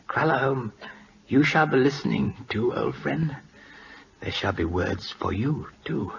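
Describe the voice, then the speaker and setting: Proud dying Siamese king: slow, gravelly, imperious broken English, short of breath, old radio play. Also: on the low side, a bit bright, heavily acted. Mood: imperious, weakening. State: weak, breathy, labouring for breath. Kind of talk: 1940s radio drama acting. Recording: dull, noisy old radio recording.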